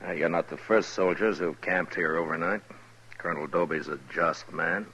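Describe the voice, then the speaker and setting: An actor playing a gruff, world-weary but fair frontier marshal who also narrates a 1950s radio western. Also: male voice, low voice, fairly even intonation, normal speed, sharp tone, full character voice. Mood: calmer, fair. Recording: muffled old radio recording.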